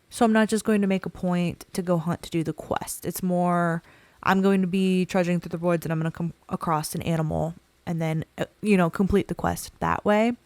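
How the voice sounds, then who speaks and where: Croaky woman with a cold, chatting casually. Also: mid-range voice, dry recording. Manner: relaxed, chatty, self-deprecating. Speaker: gaming channel host. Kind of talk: casual gameplay commentary.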